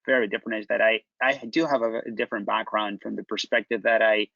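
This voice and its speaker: Panelist, male, Spanish accent, gravelly, dry dull call audio. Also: fairly low pitch, steady tone, dry recording. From Spain. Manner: reflective, halting with repeated restarts. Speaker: company founder, panelist. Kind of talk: panel discussion.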